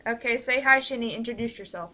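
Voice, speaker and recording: A woman's voice: fairly high pitch, fast, bright, forceful tone. A woman hosting a casual livestream over a voice call, informal and rambling, with a bossy streak. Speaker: host and leader of an amateur radio play group. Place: online voice call.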